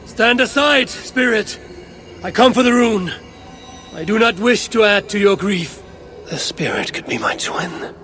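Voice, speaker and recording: A man voicing a battle-hardened, stoic Viking warrior leader in a video game, measured and resolute. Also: high voice, lively intonation. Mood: commanding. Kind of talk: video game cutscene acting. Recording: music behind the voice.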